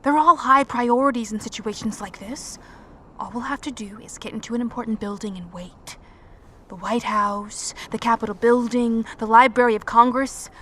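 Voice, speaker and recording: A woman's voice: fairly high pitch, moderate pace, fairly bright tone, a little hiss or hum, close to an everyday voice. An actress playing a tough, resolute woman survivor in an audio drama, terse, firm and practical. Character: tough, resolute combat-trained woman survivor leading companions. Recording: faint background ambience.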